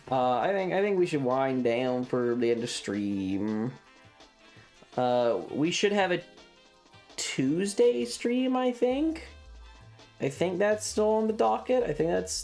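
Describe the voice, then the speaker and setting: A young adult streamer talks off the cuff to a live chat. The voice sits at a medium pitch, and the delivery wanders, doubling back on phrases with hesitation. Music plays underneath. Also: sing-song, unhurried, a little mellow. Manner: rambling, hesitant, repeats phrases. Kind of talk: casual livestream chat.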